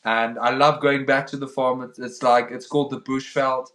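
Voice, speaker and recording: A young man with an Afrikaans accent, hosting a relaxed livestream chat. His voice sits at a middle pitch for a man and rings in a roomy, echoey space. He stalls often, restarting his words and filling gaps with um. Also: moderate pace. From South Africa. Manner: hesitant, repeats words, says um often. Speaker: livestream host. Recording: roomy echo.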